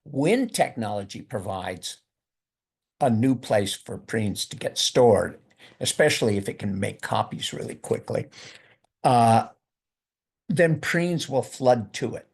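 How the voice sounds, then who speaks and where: A man's voice: mid-range voice, lively intonation, takes it slowly, gravelly, husky. An older man giving a lecture in a loose, conversational way, often repeating words as he thinks. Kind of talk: university lecture with discussion.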